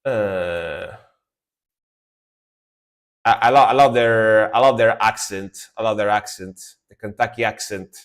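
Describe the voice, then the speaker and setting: Young adult male, Italian accent, lowish voice, casual and excitable music-reaction streamer. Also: a little slow, long pauses. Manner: enthusiastic, rambling, repeats words like "sick".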